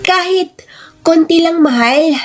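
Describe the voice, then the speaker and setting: A woman's voice: extremely high pitch, slow, faint music behind, pitched above the performer's own voice. A woman voicing an affectionate, easygoing husband who coaxes and sweet-talks in a story drama. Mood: wheedling, affectionate. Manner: coaxing, sweet-talking.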